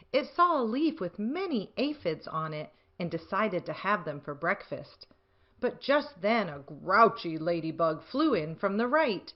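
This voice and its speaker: A woman's voice: medium pitch, highs cut off. A woman narrating a children's picture book aloud as a scripted story reading.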